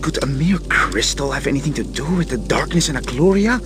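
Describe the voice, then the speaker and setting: A man voices a stubborn young-hearted inventor in a fantasy game. His voice is medium in pitch, earnest and intense, with music clearly behind it. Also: animated, a little fast, close to an everyday voice. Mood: pondering. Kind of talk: fantasy video game voice acting.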